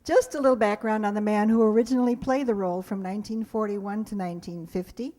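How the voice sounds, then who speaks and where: A woman's voice: mid-range voice, fairly even intonation, fast talker, dark tone. An older woman giving a chatty, scripted introduction to a live audience as presenter. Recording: echoey hall live recording.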